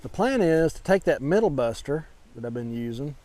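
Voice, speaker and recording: A man's voice: a little high, very animated, moderate pace, warm tone, dry recording. A man vlogging outdoors, casually explaining things as he shows his homestead in an informal way. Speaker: homestead vlogger, channel host. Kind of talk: casual walk-through narration. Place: outdoors in a garden.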